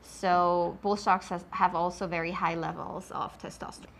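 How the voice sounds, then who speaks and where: A woman's voice: on the low side, level tone, moderate pace, creaky, slight background noise. A woman from Switzerland with a Swiss accent, a marine biologist answering interview questions in an enthusiastic, explanatory way, with many ums.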